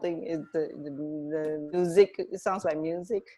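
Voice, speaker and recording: A woman's voice: sits low, steady tone, measured pace, mellow. A woman co-hosting a casual radio chat, phrasing things a little hesitantly. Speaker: radio co-host.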